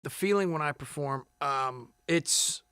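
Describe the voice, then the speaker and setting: A man who sings as a contestant, answering interview questions in a relaxed, rambling way with many fillers and restarts. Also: a little high, unhurried, smooth, dry recording, clean studio sound. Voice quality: big loud singing voice. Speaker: singing contestant.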